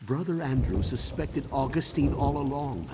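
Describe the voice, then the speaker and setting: An actor playing an earnest, defiant monk who investigates heresy in a video game cutscene, solemn, measured and accusing. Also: male voice, medium pitch, animated, long reverb tail, background noise, natural voice.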